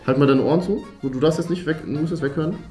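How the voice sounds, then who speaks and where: A man's voice: a little high, rapid-fire, a little mellow. A young man hosting a video, bantering casually and jokingly insisting on his answers to viewer questions. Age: young adult. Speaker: YouTube host. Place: furnished indoor room, seated at a table. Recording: roomy echo with music underneath.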